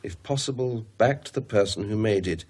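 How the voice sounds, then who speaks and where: A man's voice: on the low side, fairly quick, darkish tone, lightly acted. An actor playing a hesitant, pedantic academic man with a British English accent in a radio horror drama. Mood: pedantic, measured.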